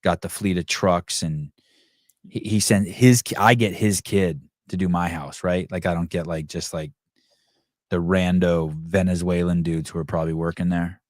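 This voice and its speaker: A man hosting a podcast, chatting in a casual, profane, animated way and telling stories with put-on voices. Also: sits low, moderate pace, no echo, clean studio sound. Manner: profane, animated storyteller who does voices. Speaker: podcast host. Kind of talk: casual banter. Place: California home.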